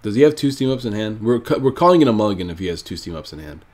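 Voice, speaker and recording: Low, nasal-sounding sick young man. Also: sing-song, very fast, darkish tone. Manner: casual, thinks aloud, laughs often. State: sick, stuffy nose. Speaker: card game streamer. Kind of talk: live gameplay commentary.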